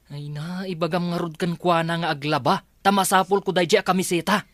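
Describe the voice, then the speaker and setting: A man with an Ilocano accent playing a gruff, entitled, bossy man of the house in a radio drama. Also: high-pitched, fairly even intonation, brisk, fairly bright tone, dry recording. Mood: irritated.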